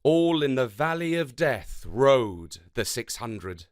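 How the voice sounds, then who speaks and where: Slow, expressive male storyteller. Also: mid-range voice, smooth. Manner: measured, expressive, dramatic delivery. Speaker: storyteller narrator. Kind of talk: scripted narration of history and poetry for children.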